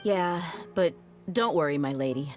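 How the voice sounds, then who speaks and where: Game cast: low-pitched, blunt, easygoing young female mercenary. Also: animated, moderate pace, darkish tone, faint music behind. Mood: steady. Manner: easygoing, blunt, matter-of-fact. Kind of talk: game voice acting, story dialogue.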